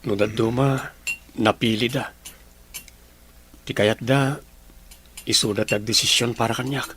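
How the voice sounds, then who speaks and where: Man playing a young Ilocano grandson, polite, earnest, mid-pitched voice, radio drama. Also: steady tone, measured pace, fairly bright tone, a little hiss or hum, close to an everyday voice. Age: young adult. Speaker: grandson and boyfriend character.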